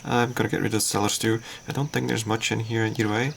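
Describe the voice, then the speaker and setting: A man whose first language is Dutch, casually talking through his game on a livestream in a halting, thinking-aloud way. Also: lowish voice, flat delivery, vocal fry, a bit bright, a little hiss or hum. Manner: halting, self-deprecating, thinks aloud with fillers. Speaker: streamer.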